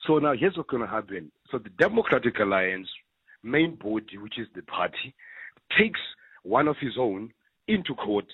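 Husky man on a phone line. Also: medium pitch, moderate pace, no room sound. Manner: animated, repeats words when worked up. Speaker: radio caller. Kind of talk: call-in radio discussion. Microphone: telephone.

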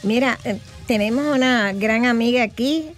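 A woman co-hosting a casual sports talk show, chatty and quick to chime in. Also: fairly high pitch, faint music behind. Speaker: radio co-host. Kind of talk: casual radio sports chat.

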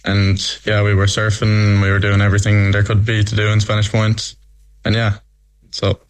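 Young Irish-accented man, very flat delivery. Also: on the low side, moderate pace, clear, resonant tone. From Belgium. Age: young adult. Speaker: guest, rugby player.

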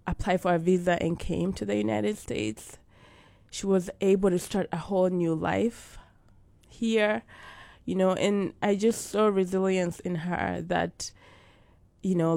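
A young adult woman from Uganda, telling her life story as an interview guest, slow and thoughtful with frequent fillers. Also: on the low side, creaky, a little mellow, no room sound. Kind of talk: interview about life and faith.

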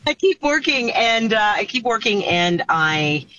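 A woman, a singer taking part in an interview over a video call, answering casually with animated pitch swoops and many restarts. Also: measured pace. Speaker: singer, guest. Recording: dull, dry video call audio.

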